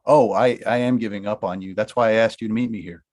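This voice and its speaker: A man's voice: on the low side, steady tone, quick pace, darkish tone, lightly acted. A man reading a blunt Hollywood manager. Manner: brusque, dismissive, laughs through lines. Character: blunt money-minded male talent manager. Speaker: actor in a table read. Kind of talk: comedy table read.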